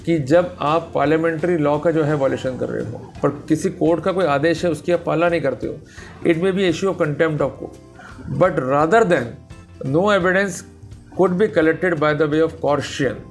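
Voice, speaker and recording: An Indian man with a slightly high-pitched voice delivers a legal lecture. He addresses his listeners directly and drives points home with emphatic rhetorical questions. Music plays behind his voice. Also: a little slow. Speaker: law lecturer. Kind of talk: explanatory legal lecture mixing Hindi and English.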